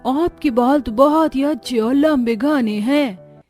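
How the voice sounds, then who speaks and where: A chatty young village woman from a cartoon family story, played by a woman. Her voice sits fairly high, with music playing behind her. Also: slow, darkish tone. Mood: chatty, worried. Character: young village women, chatty stall customers and sellers.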